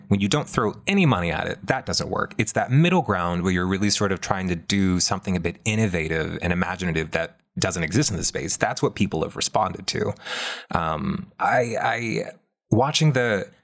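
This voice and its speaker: A man talking casually and emphatically about pop culture, in a talkative, animated way. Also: sits low. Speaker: film discussion panelist.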